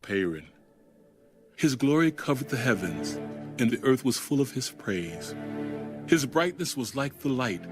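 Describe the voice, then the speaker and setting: A man giving a solemn, dramatic scripture reading in a measured, weighty, declamatory manner. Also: medium pitch, big pitch swings. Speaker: audio Bible reader. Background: music under the reading.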